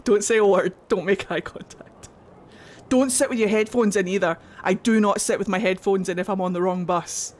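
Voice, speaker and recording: A woman's voice: mid-range voice, steady tone, moderate pace. A young woman from Glasgow chatting casually on a gaming stream, swearing freely and laughing often as she rambles. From Glasgow, Scotland. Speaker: game streamer. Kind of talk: casual livestream chat while gaming.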